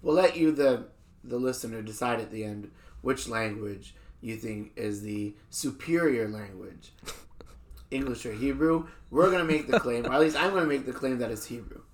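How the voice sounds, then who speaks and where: A man's voice: medium pitch, moderate pace. A man hosting a podcast in a casual, conversational way, explaining his points and repeating phrases for emphasis. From born in a country outside Israel. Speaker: podcast host.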